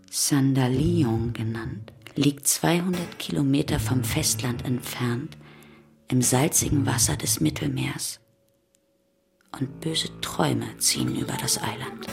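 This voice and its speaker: Brief: low, slow, brooding female storyteller for a historical radio play, hushed, over music. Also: gravelly, heavily acted. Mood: hushed, ominous. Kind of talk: dramatic literary radio-play narration.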